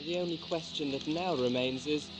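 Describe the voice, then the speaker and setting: A man playing a smug, ruthless leader of gentleman plotters in a historical audio drama, speaking formally and urbanely. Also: on the high side, moderate pace, background noise, lightly acted. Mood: cool menace.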